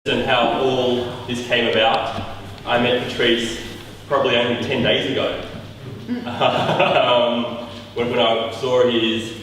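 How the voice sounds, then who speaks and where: A man's voice: medium pitch, fairly bright tone, slight background noise. A man, a theatre director, telling an anecdote in a group discussion in an easygoing way. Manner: anecdotal, laughs easily. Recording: echoey hall from far off.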